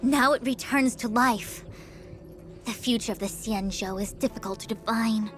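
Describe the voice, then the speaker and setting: A woman playing a composed, self-assured young diviner in a video game, speaking in a formal, measured, authoritative way. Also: on the high side, faint music behind, lightly acted. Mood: solemn, certain. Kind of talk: scripted video game dialogue.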